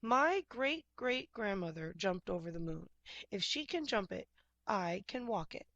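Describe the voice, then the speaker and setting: An exasperated farmer in a children's storybook, played by a woman reader, pleading and coaxing. The delivery is emphatic and bright, recorded dry. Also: mid-range voice, sing-song, a little slow, dry recording, highs cut off. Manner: emphatic, exclaiming. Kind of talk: picture book character voice.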